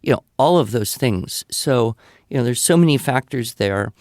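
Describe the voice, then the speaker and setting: A man answers questions in a conversational, explanatory way, with a medium-pitched voice. He leans on key phrases for emphasis as he gives advice. The sound is dry, with no room reflections. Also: lively intonation, normal speed, darkish tone, dry recording. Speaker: podcast guest answering listener questions.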